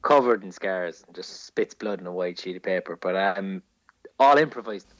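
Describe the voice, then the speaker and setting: A man's voice: sits low, normal speed, a bit bright, dry recording, muffled recording. A man co-hosting a podcast, casually telling film anecdotes with swearing and acted-out quotes. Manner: sweary storyteller, acts out quotes. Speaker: podcast co-host.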